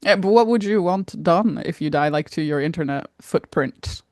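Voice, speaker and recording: A woman co-hosting a podcast in casual chat, informal and inquisitive, quick to laugh. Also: fairly low pitch, a little mellow, no room sound, clean studio sound. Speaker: podcast co-host.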